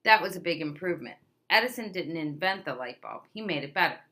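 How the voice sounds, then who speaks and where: A woman reads a nonfiction picture book aloud to children. Her voice sits a little low but is clearly bright and forward. She tells it expressively, with emphatic stresses as if addressing young listeners directly. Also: animated, fast. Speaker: read-aloud presenter.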